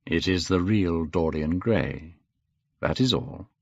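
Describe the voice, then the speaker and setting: Man as suave lord, unhurried, cool, amused, self-assured, languid, storybook character, slightly dull recording. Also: low-pitched, darkish tone, breathy edge, no room sound, voice pushed down, full character voice. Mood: amused, unruffled.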